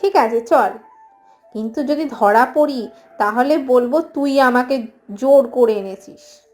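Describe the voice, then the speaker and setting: Lady doing village girl or mum in a cartoon folk tale, high voice, talks real slow. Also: darkish tone, soft background music. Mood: wary. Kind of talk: animated moral story dialogue.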